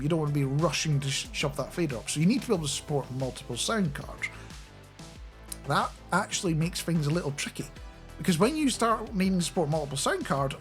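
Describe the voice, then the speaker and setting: A middle-aged man giving a casual, chatty and animated explainer into a close studio microphone. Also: fairly high pitch. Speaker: radio producer and software developer presenting a video. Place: small home studio indoors. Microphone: close studio microphone on a boom arm.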